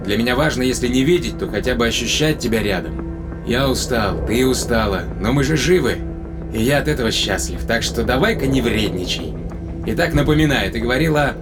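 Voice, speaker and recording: An actor playing a tender but probing spaceship captain in a Russian audio drama, speaking conversationally with deliberate pauses. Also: male voice, medium pitch, animated, over music, natural voice. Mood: gentle, coaxing. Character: male spaceship captain, long-lived, tenderly probing his companion.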